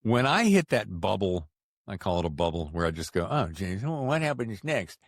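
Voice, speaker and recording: A man's voice: fairly low pitch, sing-song, moderate pace, no room sound, studio quality. A man hosting an interview podcast in a casual, colloquial, chatty way. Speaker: podcast host interviewing an author.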